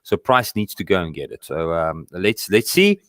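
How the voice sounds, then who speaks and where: Man with an Afrikaans accent, very low voice, dry close sound, deliberate with pauses. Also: very animated, fairly bright tone, dry recording. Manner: deliberate, hesitant, explaining. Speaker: guest trader.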